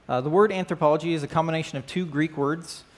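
A man in his thirties teaches a church class from a stage. His talk is loose and friendly, full of small fillers and questions to the group. His voice sits somewhat high for a man. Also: fairly even intonation, quick pace. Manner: conversational, asks the class questions, frequent "right?" and "uh". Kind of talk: church class lesson with opening prayer. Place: church stage with band gear, indoors.

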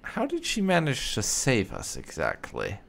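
A man's voice: mid-range voice, huge pitch swings, a little slow, creaky, a bit bright. A man giving casual gameplay commentary and reading dialogue choices aloud, in a musing, understated, polite manner. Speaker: game streamer doing roleplay playthrough.

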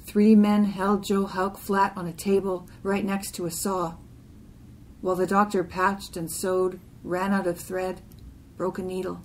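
A woman's voice: on the low side, level tone, measured pace, slight background noise, low-quality recording. A woman reading a literary poem aloud as part of a group reading. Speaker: poetry reader.

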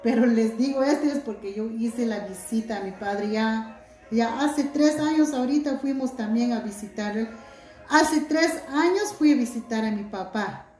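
A middle-aged woman chats to her viewers from home, heartfelt and unhurried, reading out their comments as she goes. Her voice sits a little high but sounds mellow. The phone on the table picks up an echoey room with faint music behind her. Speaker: vlogger. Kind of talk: casual chat to viewers. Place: indoors at home, by a window.

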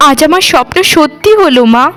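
An earnest, kind young doctor from a village cartoon, played by a woman. She speaks gently in a high voice, with music behind her. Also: brisk. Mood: happy, proud. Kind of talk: Bengali cartoon voice acting.

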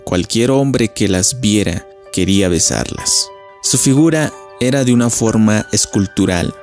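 Low-voiced male storyteller over music. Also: darkish tone. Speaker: narrator. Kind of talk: scripted storytelling narration of a local legend.

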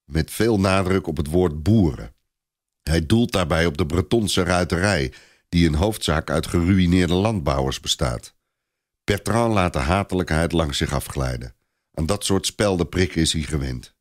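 A man's voice: sits low, moderate pace. A man narrating a scripted story in a dramatic style.

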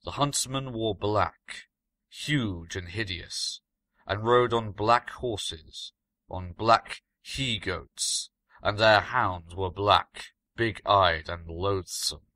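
A man's voice: on the low side, very slow, sharp tone, no echo, heavily acted. A man voicing a learned, mysterious countryman in a story, grave, knowing and emphatic. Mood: grave, slow.

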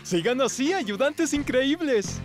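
A man's voice: very high-pitched, animated, slow, over loud music, full character voice. A man voicing a genial, eccentric adult male vehicle in a children's cartoon, with theatrical delivery. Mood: cheerful.

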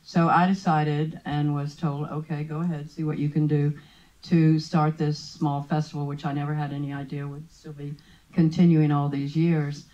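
An older woman, a film festival founder, recalling her early work in a thoughtful, unhurried way on a handheld mic at a seated panel. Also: low-pitched, level tone, mellow, muffled recording. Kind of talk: panel talk, reminiscing. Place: event room with perforated wall panels.

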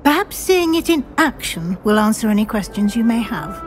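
A high, lively voice of an older British witch professor in a fantasy game. She is formal and measured, firm yet kindly. Music plays behind her. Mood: brisk, encouraging. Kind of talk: scripted game dialogue. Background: background music.

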